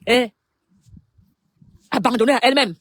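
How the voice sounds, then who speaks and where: A woman with a Cameroonian French accent making an impassioned public appeal, speaking emphatically in short phrases with dramatic pauses. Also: fairly high pitch, dry recording.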